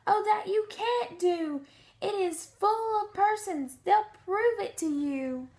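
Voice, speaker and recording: An earnest elephant from a children's storybook, acted out by a woman, speaking high and loud. He is kind-hearted and anxious, and he presses every plea with urgent emphasis. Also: takes it slowly, full character voice. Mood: urgent pleading. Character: earnest, kindly, anxious male elephant pleading loudly.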